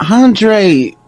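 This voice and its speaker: A woman playing a weary, irritated friend on a late phone call in an audio drama. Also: mid-range voice.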